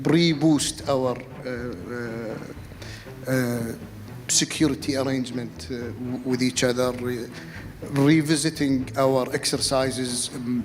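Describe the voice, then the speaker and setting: Guy on a panel, halting n diplomatic, flat pitch, big echoey hall. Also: slight background noise. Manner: halting, repetitive, diplomatic phrasing. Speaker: panel speaker, official. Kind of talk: panel discussion answer.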